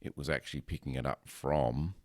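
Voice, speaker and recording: A man with a very deep, loud and resonant voice talks casually about his recording equipment. He rambles, filling pauses with ums and correcting himself. The sound is dry and close, with no room echo. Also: steady tone. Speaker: podcaster. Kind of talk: casual gear talk to camera. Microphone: headset microphone close up.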